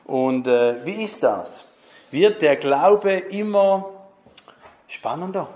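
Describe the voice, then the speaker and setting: A man preaches at an unhurried, deliberate pace, talking with his congregation and quizzing them as he goes. His voice rings out in an echoey church hall. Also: fairly high pitch, lively intonation, darkish tone. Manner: chatty, asks the congregation questions. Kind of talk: conversational sermon.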